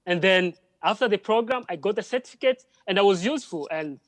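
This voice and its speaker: A man from DR Congo, a French speaker, speaking as a panel guest in halting English full of restarts. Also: high voice, lively intonation, no room sound. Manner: halting, with frequent restarts and repeated words. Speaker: panel guest, drone project worker. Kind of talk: live panel conversation.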